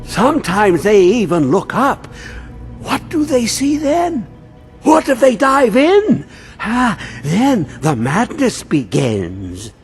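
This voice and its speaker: Give me a high, slow, cryptic old madman, riddling in a video game. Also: very animated, full character voice. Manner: riddling, sing-song, abrupt swings high and low. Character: mad old male scholar, cryptic and obsessed. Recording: game audio with faint music.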